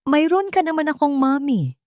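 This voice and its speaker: A Filipino-accented woman playing a wronged mother who is firm, forgiving and gentle with her children, speaking in a measured, solemn way. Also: high voice, animated, dry recording, studio quality, pitched above the performer's own voice. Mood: tender. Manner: measured, solemn, soft-spoken.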